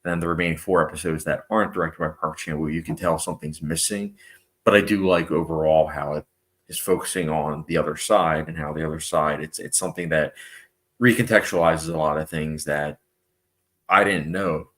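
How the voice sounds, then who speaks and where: Young man, deep voice, casual stream chat, reads comments aloud, filler-heavy. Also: fairly even intonation, brisk, fairly bright tone. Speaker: movie channel host.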